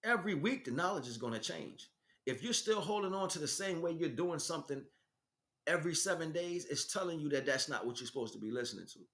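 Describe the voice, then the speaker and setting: A man's voice: fairly high pitch, fairly bright tone. A man giving an impromptu spiritual talk as a teacher, speaking emphatically in short, repetitive bursts. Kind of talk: impromptu spiritual monologue, preaching.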